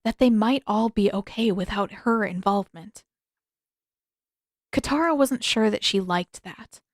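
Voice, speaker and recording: A woman's voice: mid-range voice, a little slow, dry recording, studio quality. A woman reading scripted fiction as an audiobook narrator.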